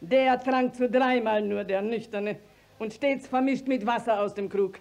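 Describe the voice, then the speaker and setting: A woman's voice: a little high, measured pace, a little mellow. An actress in a 1950s radio play voicing an indignant, long-winded village widow who declaims her complaint insistently and in relished detail. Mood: insistent storytelling.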